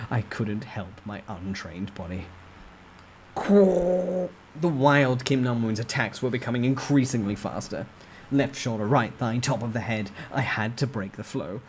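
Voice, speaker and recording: A man's voice: lowish voice, slight background noise. A man giving a dramatic first-person storytime reading of a novel. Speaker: narrator reading a novel aloud.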